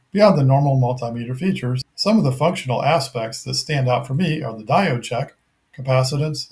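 A middle-aged man narrating a scripted technical product review in a measured, methodical, matter-of-fact way. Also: mid-range voice, darkish tone.

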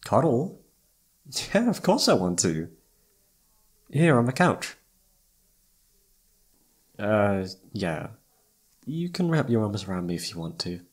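A man playing a self-doubting, lovestruck best friend in an intimate ASMR roleplay, speaking softly and haltingly with tender hesitation. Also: on the low side, very animated, slightly rough, exaggerated voice. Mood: tender, shy. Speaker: amateur voice actor.

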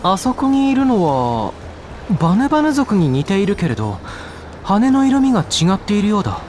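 A man voicing a polite, thoughtful young traveler in a game, speaking in a measured way. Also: high voice, huge pitch swings, a little mellow, slight background noise.

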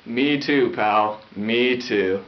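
Agreeable teenage school news anchor, lo-fi. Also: male voice, medium pitch, very slow. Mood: reassuring. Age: young adult. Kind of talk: scripted school news presenting, acted. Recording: dull lo-fi 1990s VHS sound.

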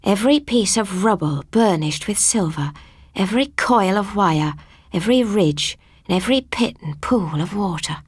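A woman narrating a scripted first-person ghost story as an audiobook reader, with a husky voice. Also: mid-range voice, animated, normal speed, no room sound, studio quality.

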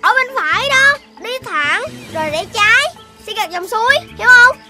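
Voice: very high voice, lively intonation, normal speed. A performer voicing a clever, caring young puppy leader in a children's cartoon, earnest and instructive, with a southern Vietnamese accent. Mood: urgent. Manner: earnest, instructive, taking charge. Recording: music behind the voice.

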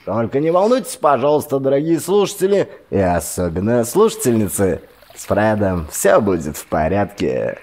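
A man's voice: lowish voice, huge pitch swings, moderate pace, hardly any pauses, darkish tone, exaggerated voice. A man voicing a chatty late-night radio DJ heard through a crackling receiver speaker. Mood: breezy. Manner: breezy patter over a crackling radio.

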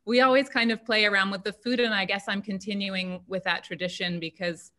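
A woman's voice: medium pitch, steady tone, fairly quick, pushed and bright. A woman cookbook author speaking in a chatty way and laughing easily on a video call from home. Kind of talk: panel answer. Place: home room.

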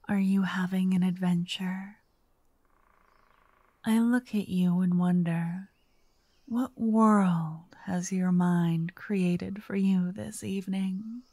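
Casting: wealthy vampire authoress played by a woman for ASMR roleplay; theatrical, doting tease, unhurried, mellow, breathy half-whisper. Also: on the low side, dry recording. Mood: dreamy, tender. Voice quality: soft, breathy, often whispered. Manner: teasing, dreamy, old-fashioned wording. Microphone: close, dry microphone.

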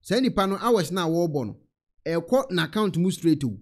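Young ghanaian preacher guy, lively swoopy voice a bit high, keeps hammering questions, handheld mic in church. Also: normal speed. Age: young adult. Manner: animated, rhetorical questions, repeats phrases. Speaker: pastor. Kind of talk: sermon. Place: church stage, indoors.

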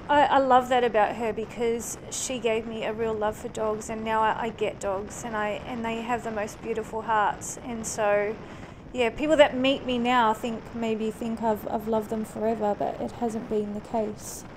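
A woman's voice: on the high side, flat delivery, normal speed, low-quality recording. A woman in her late thirties from New Zealand, raised in Australia, with a mixed New Zealand and Australian accent, chatting casually with plenty of ums and restarts while riding a motorcycle. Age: late 30s. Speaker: motorcycle vlogger. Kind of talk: casual chat to camera. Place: riding a motorcycle on an open country road. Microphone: helmet-mounted action camera. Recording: engine and wind noise on a helmet cam. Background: motorcycle engine and wind noise.

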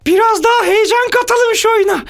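A woman's voice: extremely high pitch, brisk. A woman voicing a bold, eager child ringleader in a children's cartoon, with an emphatic, take-charge delivery. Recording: loud music bed under the voice.

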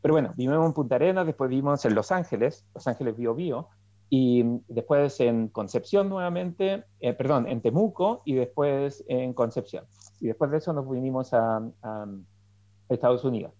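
A man's voice: medium pitch, moderate pace, dark tone, no echo. A middle-aged man, a political scientist guest on a video call, recounting his life with many ums and restarts. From Chile. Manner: reflective storytelling with many fillers and restarts. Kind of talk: interview over video call. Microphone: video call headset. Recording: muffled dry video call audio.

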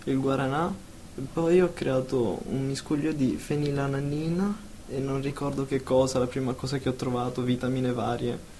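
A man's voice: mid-range voice, fairly even intonation, normal speed, dark tone, a little hiss or hum, natural voice. A man acting an anxious therapy patient terrified of sleep in a radio drama, hesitant and rambling as he complains.

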